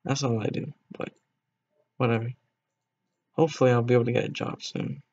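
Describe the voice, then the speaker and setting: A man's voice: mid-range voice, fairly even intonation, brisk, a little mellow, dull audio. A teenage boy streaming a game, chatting casually with viewers in a rambling way, voice cracking now and then. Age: teens. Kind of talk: casual gameplay stream chat.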